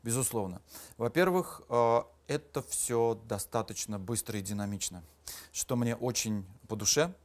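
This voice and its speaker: A man's voice: medium pitch, normal speed. A middle-aged man, an actor and musician, answering interview questions thoughtfully with frequent hesitations. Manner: thoughtful, many hesitation sounds. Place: small studio with red curtain.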